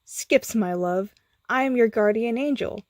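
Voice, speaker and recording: A tender guardian angel from a storybook, voiced by a woman. She is a long-lost sweetheart, solemn and gentle, heard dry and slightly raised in pitch. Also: unhurried, no room sound, lightly acted.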